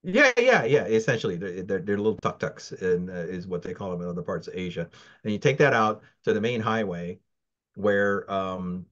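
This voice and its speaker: This is a man in his sixties who grew up in the Philippines but talks with an American accent. He rambles through his stories with many fillers and restarts, in a somewhat low voice. The audio has the muffled, lo-fi sound of a video call. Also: lively intonation, normal speed, fairly bright tone. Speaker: interview guest. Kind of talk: casual interview. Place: home, virtual background.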